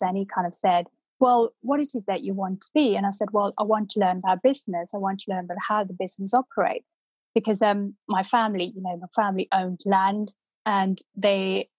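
A middle-aged woman from India, a native Punjabi speaker, recounting her life in a thoughtful, halting way. Her voice sits at a middling pitch. The sound is dull and dry, with no room around it. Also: dry recording. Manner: reflective, many fillers and restarts. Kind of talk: personal story, unscripted.

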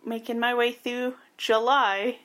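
A woman's voice: on the high side, little pitch movement, slow, fairly bright tone. A woman co-hosting a casual podcast, chatting and recapping information in an informative way. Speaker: podcast co-host.

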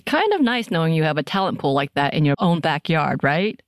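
A woman's voice: low voice, big pitch swings, a little fast, no room sound, studio quality. Upbeat woman doing ad voice-over. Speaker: ad announcer. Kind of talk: scripted commercial voice-over.

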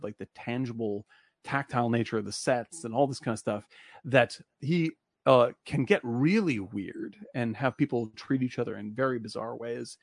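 A man with a gravelly, fry-tinged voice chats about a movie in a rambling, animated way. The sound is dry and close, with no room around it. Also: medium pitch, no echo. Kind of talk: casual film discussion.

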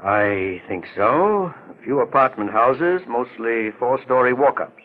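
An actor playing a calm, politely skeptical gentleman investigator, asking measured questions in a 1940s radio mystery. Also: medium pitch, natural voice. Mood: calm, probing. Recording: muffled, tinny 1940s radio recording.

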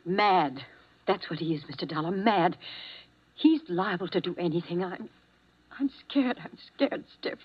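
An actress playing a frightened, anxious wife in 1950s radio drama, speaking nervously and breathlessly. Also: female voice, lowish voice, a little slow, background noise, lightly acted. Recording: muffled old radio broadcast.

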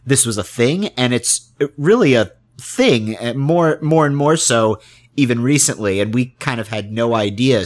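A man with a slightly low voice speaks as a podcast interviewer. His style is casual and conversational, circling and rewording his thoughts as he talks. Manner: discursive, rephrases questions as he goes.

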